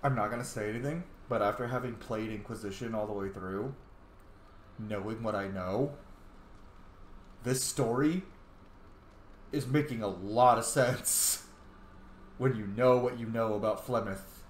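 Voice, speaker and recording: A man's voice: fairly low pitch, measured pace, pauses often. A man giving casual, informal commentary on a game playthrough, explaining lore and reacting as he goes. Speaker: gaming host, role-playing let's player. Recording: echoey room with faint noise.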